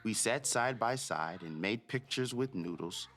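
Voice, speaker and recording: A man's voice: on the low side, animated, unhurried, fairly bright tone, soft background music. A man hosting a read-aloud for children, narrating expressively and speaking directly to his young listeners.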